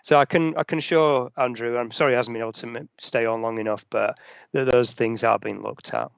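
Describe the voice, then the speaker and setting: A man's voice: medium pitch, fairly even intonation, brisk, gravelly, fairly bright tone, clear, resonant tone, no room sound. A man, a council officer answering questions in an online meeting, hesitant with many fillers and restarts, and losing his voice. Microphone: headset on a video call. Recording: dull lo-fi video call audio.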